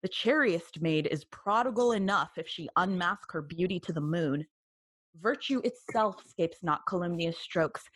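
Animated, protective older sibling. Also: female voice, lowish voice, normal speed, breathy edge, dry recording, low-quality recording. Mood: earnest warning. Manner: emphatic, theatrical, admonishing. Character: Laertes, protective, earnest, cautioning older sibling. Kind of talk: Shakespearean audio drama acting.

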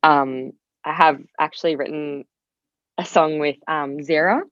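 Low-pitched young Australian woman. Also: fairly even intonation, a little slow, dry recording, muffled recording. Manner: candid, rambling with frequent "like". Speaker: podcast guest, singer-songwriter. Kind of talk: casual conversational interview.

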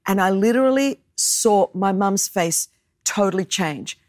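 A woman in her thirties from Australia, whose first language is Greek, preaching a sermon and telling a personal story with animated, self-mocking humor. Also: mid-range voice, a little slow, no room sound, studio quality. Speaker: guest preacher.

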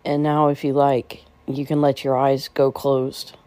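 A woman leading a guided meditation in a gentle, soothing way, with unhurried phrasing and pauses. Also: extremely low pitch, level tone, no echo.

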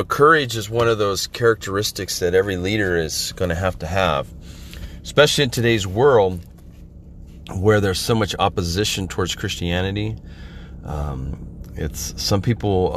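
A hoarse, middle-aged man from Central California preaches an informal podcast sermon in a fairly low voice. He talks in a halting way, full of pauses and restarts, and his voice sounds worn from a cold. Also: slight background noise. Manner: halting, many fillers and restarts. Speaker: podcast minister.

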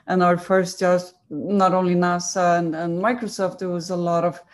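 A woman from Iceland with an Icelandic accent, a design agency co-founder telling her story in an interview, relaxed and full of fillers and self-corrections. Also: fairly low pitch, normal speed. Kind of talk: conversational interview answers.

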